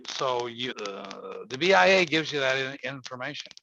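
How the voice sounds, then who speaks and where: A man's voice: mid-range voice, big pitch swings, normal speed, gravelly, pushed and bright. A man in his seventies hosting a casual call-in chat, talking in a folksy, rambling, emphatic way. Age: 70s. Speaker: host. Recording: muffled lo-fi audio with faint noise.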